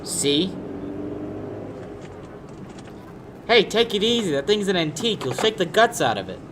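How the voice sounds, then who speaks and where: A young actor playing a sardonic, pushy lawyer in a 1940s-style radio detective play. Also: male voice, high-pitched, sing-song, brisk, noisy background. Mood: impatient. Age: young adult. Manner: wisecracking, hard-boiled. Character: sardonic, pushy male lawyer turned investigator. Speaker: high school actor.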